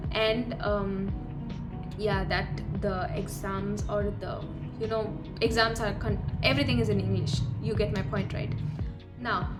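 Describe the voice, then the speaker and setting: A young woman, a university student, explaining in a conversational, advice-giving way for her vlog. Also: medium pitch. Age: young adult. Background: loud background music.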